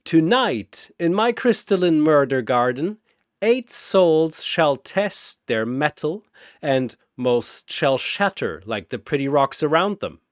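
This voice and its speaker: A man voicing an ancient, gleeful cosmic arena master in a storytelling piece, with grandiose announcing and drawn-out names. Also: on the high side, sing-song, no room sound, studio quality. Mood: grandly amused.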